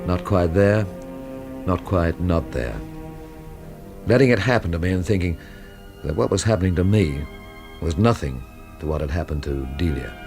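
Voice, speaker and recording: A man's voice: deep voice, normal speed, music in the background. An actor playing a weary, brooding, dryly wry man in a radio mystery drama, with a literary way of talking. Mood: brooding, bleak. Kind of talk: radio drama acting and first-person narration.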